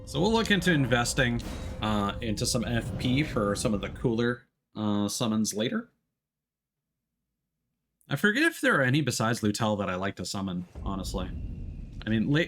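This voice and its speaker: Deep-voiced man streaming a video game. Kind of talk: casual live gameplay commentary.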